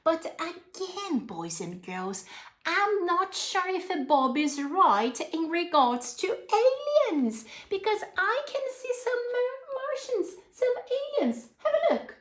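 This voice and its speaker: A woman's voice: extremely high pitch, big pitch swings, slow, fairly bright tone, roomy sound. A woman reading a picture book aloud to young children, expressively, with asides and questions addressed to her listeners.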